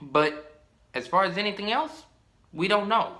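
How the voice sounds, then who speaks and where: A man's voice: high voice, animated, sharp tone, echoey room. A young man chatting casually about a pipe he shows to the camera, drawn out and full of ums, recorded in a small room at home. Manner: rambling, drawn-out, many ums. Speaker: pipe-collecting vlogger. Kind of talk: casual show-and-tell.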